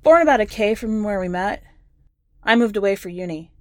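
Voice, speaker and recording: A woman's voice: medium pitch, animated, fairly quick, no room sound, clean studio sound, lightly acted. A woman playing a guarded, weary survivor in their sixties in an audio story, terse and understated. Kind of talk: audio short story character voice.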